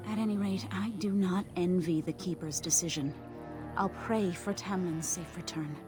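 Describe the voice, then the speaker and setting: An actress playing a solemn elven herder in a video game, speaking in a measured, earnest, formal way. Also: female voice, fairly low pitch, music in the background, natural voice. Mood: solemn, concerned. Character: solemn elven woman tending the clan's sacred herd animals. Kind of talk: video game dialogue acting.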